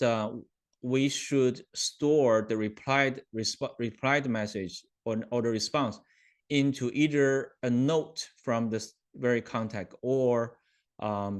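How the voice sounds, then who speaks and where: A man hosting a webinar, talking a live software demo through with many ums and self-corrections, often checking in with his listeners over a video call. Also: mid-range voice. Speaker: webinar host.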